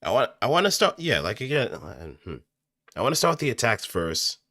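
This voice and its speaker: A man talking casually and off the cuff as he explains voice acting, thinking aloud in an animated, demonstrative way. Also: mid-range voice, brisk, no echo, clean studio sound. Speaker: amateur voice actor. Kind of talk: casual explanatory talk, improvised voice acting tutorial. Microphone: close studio microphone through audio interface.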